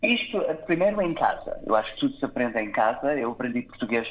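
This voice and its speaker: A man from Canada, born in Toronto, answering interview questions hesitantly, with many filled pauses and restarts. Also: medium pitch, big pitch swings, highs cut off. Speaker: guest.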